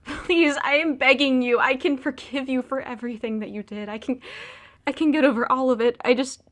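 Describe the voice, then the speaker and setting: A woman's voice: high-pitched, brisk, fairly bright tone. A young woman acting in an audio drama as a heartbroken, emotional girlfriend pleading with her boyfriend. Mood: desperate, pleading.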